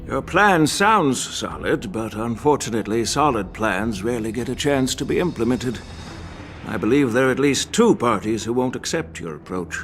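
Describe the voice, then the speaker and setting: An actor playing a calm, shrewd veteran general in a video game, speaking in a measured, instructive way. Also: male voice, mid-range voice, natural voice. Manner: measured, deliberate, gently instructive. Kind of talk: scripted video game acting.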